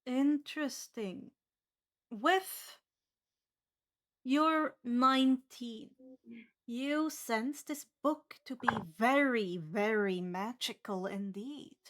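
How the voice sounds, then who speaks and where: Female game master, high voice, English as a second language, tells the story to her players. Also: very slow, fairly bright tone. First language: not English. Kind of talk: narrating a tabletop fantasy game.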